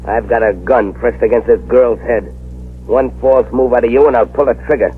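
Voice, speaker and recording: A man's voice: medium pitch, fairly even intonation, moderate pace, slightly rough, narrow, tinny audio, lightly acted. A man playing a ruthless, scheming gang boss who snarls and bullies, in an old radio drama on a scratchy broadcast. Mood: threatening. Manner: snarling, bullying.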